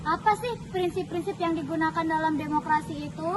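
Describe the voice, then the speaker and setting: A woman's voice: very high voice, fairly even intonation, fairly quick, distant mic, heavy background noise. A woman playing a curious student who asks questions in a scripted educational skit. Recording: music behind the voice.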